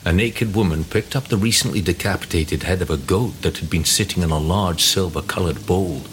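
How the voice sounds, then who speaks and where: A man plays a grim horror storyteller. His scripted narration is very deep in pitch, with faint rumbling noise behind him. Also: a little fast, close to an everyday voice.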